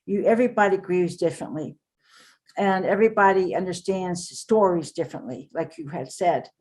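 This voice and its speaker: An older woman speaks as the guest on an interview podcast, her voice sitting a little low. She tells her stories earnestly and in a rambling way, often pausing on fillers. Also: a little mellow. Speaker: author and guest. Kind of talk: casual interview talk.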